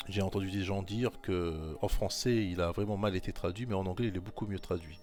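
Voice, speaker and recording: Low-voiced man giving insistent religious commentary. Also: fairly quick, darkish tone, soft background music. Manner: insistent, repetitive exhortation; talks straight to the listener. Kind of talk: informal commentary on scripture verses.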